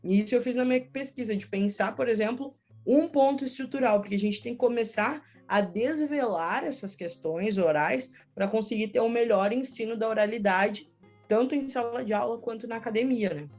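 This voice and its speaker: A woman's voice: a little high, normal speed, a little mellow, soft background music. A woman with a southern Brazilian accent answering interview questions conversationally and explaining things, often adding tag questions. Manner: explanatory, frequent "né" tags. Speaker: schoolteacher, storyteller and researcher, interview guest.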